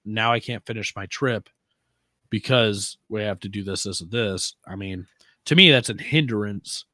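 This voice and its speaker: An American man with a fairly low voice talks off the cuff as a podcast co-host. His speech is informal and slangy, with the odd swear word. The sound is close and dry, with little room in it. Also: measured pace, pushed and bright, dry recording. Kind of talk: casual conversation about off-roading.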